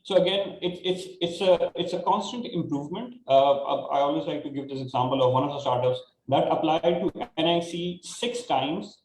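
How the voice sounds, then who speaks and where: A man with a Pakistani accent giving informal panel advice, haltingly repeating words and often checking in with "right?". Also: fairly high pitch, a little mellow. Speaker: panelist, startup incubator staff. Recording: roomy, echoing call audio.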